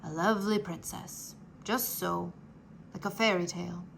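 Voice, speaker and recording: A woman voicing a male mouse threadmaster, polite and secretive, speaking in a low hushed murmur. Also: steady tone, normal speed, creaky, slight background noise, poor audio.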